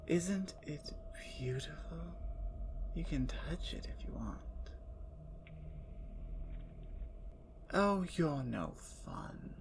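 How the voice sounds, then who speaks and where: A woman plays a captured female vampire in an ASMR roleplay, playful and menacing. She taunts and teases in soft whispers, with a gravelly edge and an unhurried pace. A faint hiss sits under the recording. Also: low-pitched, full character voice. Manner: teasing, taunting.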